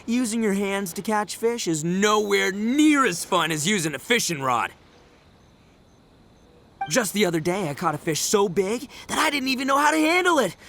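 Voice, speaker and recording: A man's voice: high voice, animated, normal speed, fairly bright tone. A man voicing a boastful, exuberant young fishing fanatic in an anime game.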